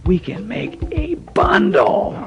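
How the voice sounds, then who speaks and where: A scheming drug buddy. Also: male voice, high-pitched, wildly swooping pitch, takes it slowly, full character voice. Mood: coaxing. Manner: coaxing, conspiratorial. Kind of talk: radio drama acting. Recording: dull radio drama over loud music. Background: music.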